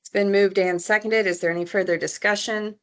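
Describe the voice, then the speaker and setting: Formal woman chairing a meeting, fairly even tone. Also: mid-range voice, a little fast. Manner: formal, procedural. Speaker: commission chair running a meeting. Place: public commission meeting room.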